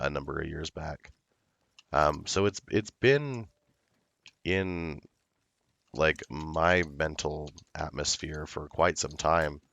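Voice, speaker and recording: A young man streaming a game, with a hoarse voice from illness, chatting slowly and loosely. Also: a bass voice, fairly bright tone, no room sound. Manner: slow, rambling, laid-back talk. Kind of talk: casual livestream chat.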